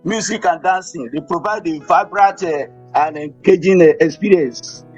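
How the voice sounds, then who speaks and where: A man with a Nigerian accent gives a formal conference presentation as an invited speaker, in a deliberate, phrase-by-phrase delivery. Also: a little high, darkish tone. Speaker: invited speaker, professor and motivational speaker. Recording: dull, muffled audio with music.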